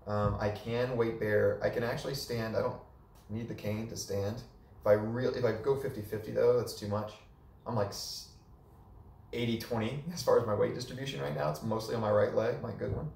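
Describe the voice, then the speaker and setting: A hoarse young man giving a casual, chatty update in a small living room, filmed by a camera a few meters away. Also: fairly low pitch, brisk, distant mic. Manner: relaxed and chatty, with many fillers. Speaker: vlogger. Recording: echoey room, voice off-mic.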